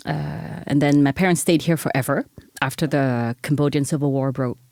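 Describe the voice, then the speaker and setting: Low-voiced French woman podcast host. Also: darkish tone, dry recording, clean studio sound. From France. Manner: hesitant, many "uh" pauses. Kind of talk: podcast interview.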